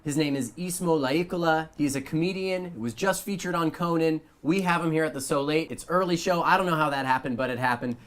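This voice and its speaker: A man's voice: a little high, a little fast, few pauses, a bit bright. A man hosting a late-night comedy talk show, reading mock news in a dry, mock-serious way and interviewing a guest.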